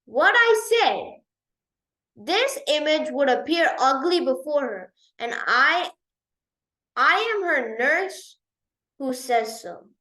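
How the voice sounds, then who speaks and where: A woman voicing a boastful, excitable old hunchbacked nurse in a storybook, exclaiming theatrically. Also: high-pitched, slow, heavily acted. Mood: boastful, amused. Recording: roomy, echoey sound.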